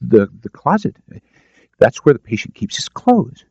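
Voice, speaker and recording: A man acts out an apologetic older rest-home keeper in a detective audiobook. He hesitates and stammers defensively, pitched higher, on dry, slightly dull tape. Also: sing-song, a little slow, vocal fry, darkish tone, slightly rough, no echo, heavily acted. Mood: flustered.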